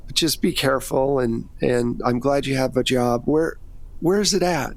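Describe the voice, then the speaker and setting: A man's voice: mid-range voice, normal speed, vocal fry, dry recording, natural voice. A man voicing an affectionate, concerned woman who is somebody's partner in a tabletop game. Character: woman, affectionate worried partner of the player's character.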